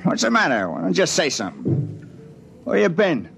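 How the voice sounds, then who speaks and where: A man playing a crude, loud-mouthed spaceman who gripes in slangy talk, in an old-time radio science fiction drama. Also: fairly high pitch, wildly swooping pitch, brisk, vocal fry, heavily acted. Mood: grumbling scorn. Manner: brash, slangy, complaining. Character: Biggs, loud, crude, griping spaceman with no reverence. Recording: noisy vintage radio drama recording.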